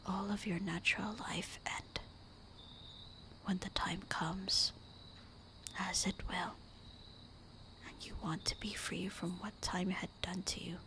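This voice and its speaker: A woman voicing a tender, melancholy immortal vampire wife in a breathy, intimate whispered ASMR roleplay. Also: on the low side. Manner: slow, intimate, wistful murmuring.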